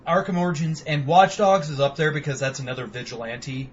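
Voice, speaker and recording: A man's voice: fairly high pitch, fairly even intonation, a little fast, a little hiss or hum. Rambling, profane young man on a podcast. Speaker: podcast co-host. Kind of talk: casual chat. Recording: dull lo-fi home recording.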